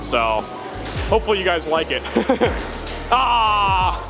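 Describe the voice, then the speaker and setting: Young Midwestern male vlogger, high voice, excitable play-by-play; handheld camera in a noisy arcade with loud music. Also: slow pace. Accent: Midwestern American. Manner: excitable, cheering on friends. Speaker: vlogger host. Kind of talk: casual arcade vlog commentary. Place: indoor arcade bowling alley.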